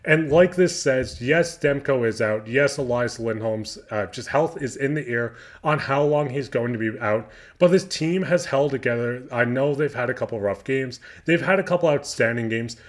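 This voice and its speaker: A man hosting a hockey news channel, an eager fan going through quotes in loose, unscripted sports commentary, hoarse and losing his voice. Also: medium pitch, normal speed, hardly any pauses.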